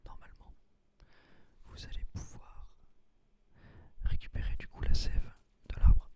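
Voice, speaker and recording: A man quietly explains his gameplay in a casual, breathy ASMR whisper. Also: unhurried. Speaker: gaming video creator.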